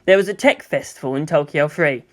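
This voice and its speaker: A narrator reading a scripted story in an expressive way, with dramatic emphasis. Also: medium pitch, fairly quick. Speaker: audiobook narrator. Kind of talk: scripted narration of fan fiction.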